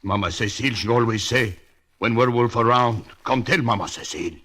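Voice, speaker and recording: An actor playing a superstitious, earnest bayou boatman with a Cajun accent and halting broken English, in old radio drama. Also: medium pitch, pushed and bright. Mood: earnest, fearful. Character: superstitious bayou boatman, loyal family servant, simple and earnest. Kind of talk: 1940s radio drama acting.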